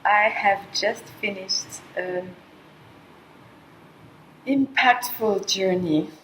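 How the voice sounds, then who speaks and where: A middle-aged woman from Colombia speaking softly and haltingly, with frequent pauses and fillers, in a reflective personal monologue. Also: medium pitch, slight background noise, rough recording. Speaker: vlogger sharing a personal experience.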